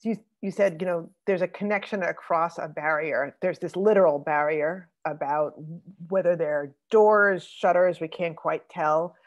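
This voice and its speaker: A woman moderating an online group discussion over a video call, speaking thoughtfully with many pauses and fillers. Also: on the low side, dull audio. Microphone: computer mic on a video call.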